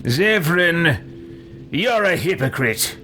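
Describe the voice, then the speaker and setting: Man playing a haughty ruthless adventurer, swooping and slow. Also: on the high side, big pitch swings, sharp tone, exaggerated voice. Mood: cold disdain. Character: cold, ruthless, arrogant male adventurer. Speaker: video game player voicing his own character. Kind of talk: in-character roleplay commentary.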